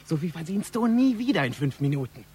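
High, swooping, pushy crook. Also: male voice, big pitch swings, a little fast, darkish tone. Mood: pushy, menacing. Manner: pushy, coaxing. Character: wheedling criminal man offering bribes, impatient, menacing. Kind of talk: radio play acting. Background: music.

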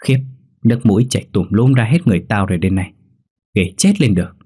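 A man's voice: lowish voice, animated, fast, dark tone, husky. A man voicing a blunt but caring young female student in a horror story, bossy and colloquial, fussing over her roommate. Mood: soothing grumble.

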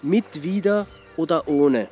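A man's voice: on the high side, animated, slow, dark tone, faint music behind. Dogged male Austrian amateur sleuth. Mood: urgent, insistent. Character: Suchanek, dogged amateur sleuth, adult man, wry and urgent. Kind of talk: naturalistic radio drama acting.

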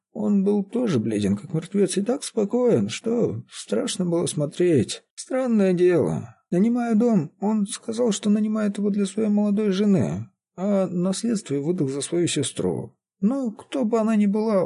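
Male reader as old female caretaker for an audiobook, high and creaky, plaintive, whining, slow to let words go. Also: very animated, warm tone, exaggerated voice. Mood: tearful, gossipy. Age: elderly. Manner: whining, tearful, drawn-out gossiping. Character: plaintive half-deaf old woman caretaker. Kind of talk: audiobook character voice.

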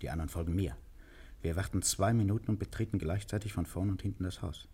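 A stern police inspector with a very deep, rough-edged voice. He is clipped and commanding, in the manner of vintage radio drama. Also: fast talker, warm tone. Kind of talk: 1950s German radio drama acting.

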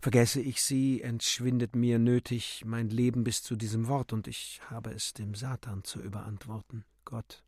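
A man narrating literary prose for a radio drama in a measured, solemn, dramatic manner. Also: medium pitch, flat delivery, a little mellow, no room sound, studio quality.

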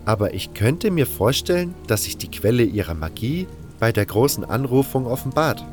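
A man's voice: mid-range voice, very animated, moderate pace, a little mellow, faint music behind, lightly acted. A man voicing an eager, bookish young fire mage who lectures earnestly in a wordy way, in a fantasy audio drama. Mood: hopeful.

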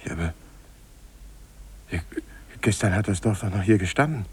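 An actor playing a bewildered, wistful young traveling painter, earnest and halting, in a 1950s radio drama. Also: male voice, deep voice, expressive, slight background noise. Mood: agitated disbelief. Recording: old radio play on cassette tape.

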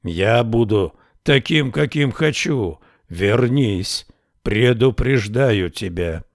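A man reading a storybook voices a fickle, jealous king who pleads softly and then threatens, in slow, low, hushed tones. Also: animated, dry recording, clean studio sound. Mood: threatening.

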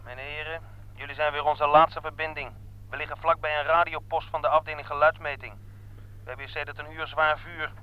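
An actor playing a strained soldier reporting over a field telephone in a Dutch radio war drama. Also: male voice, fairly high pitch, little pitch movement, brisk, clean tone. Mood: worried. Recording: muffled, band-limited old radio recording.

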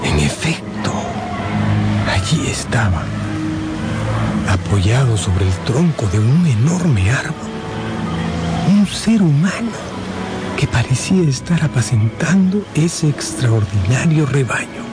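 Loud music runs beneath a man narrating an audio drama. His voice sits in a middle register, and he shapes each phrase with measured suspense. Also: medium pitch, expressive.

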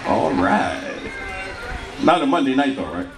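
A man's voice: medium pitch, big pitch swings, a little slow, vocal fry, reverberant, background noise. A man emceeing an outdoor folk festival stage, announcing acts with joking banter.

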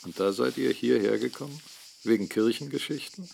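Gruff captain and mill boss, radio drama, brusque, boastful, argumentative, creaky mid-range voice. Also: male voice, medium pitch, fairly quick, darkish tone, slight background noise. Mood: gruff, teasing. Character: blunt, proud mill-owning captain, a gruff businessman.

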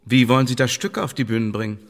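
A man's voice: medium pitch, quick pace, natural voice. A man acting in a radio play as a sardonic, calculating English spymaster with a dry, pointed, officious delivery. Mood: mocking. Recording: long hall reverb, music behind.

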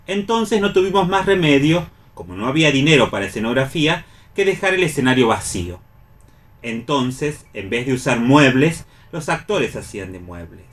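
A man with an Argentine accent leads a radio talk in a mid-pitched voice. He explains things expressively, sometimes reading passages aloud. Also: fairly bright tone. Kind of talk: discussion of theatre on radio, partly reading aloud.

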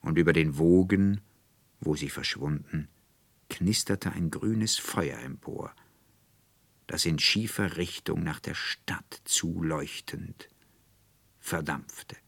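A man narrating a literary fairy tale as an audiobook, in a measured, expressive and deliberate manner. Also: a bass voice, creaky, breathy edge, no room sound.

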